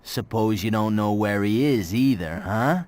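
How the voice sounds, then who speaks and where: A man's voice: on the low side, measured pace, a little mellow, natural voice. A man playing a hard-boiled, cynical detective in a video game, pressing with curt, sardonic questions. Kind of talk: video game cutscene acting.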